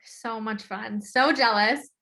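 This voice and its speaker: Bright-voiced American woman hosting sports podcast. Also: on the high side, fairly even intonation, takes it slowly. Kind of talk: casual conversational interviewing.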